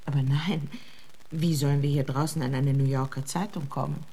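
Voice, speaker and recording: Low-voiced, breathy, genteel countess. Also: female voice, fairly even intonation, moderate pace. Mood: polite. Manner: refined, hesitant, soft-spoken. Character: genteel countess, female, polite, anxious and reserved. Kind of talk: German radio drama acting.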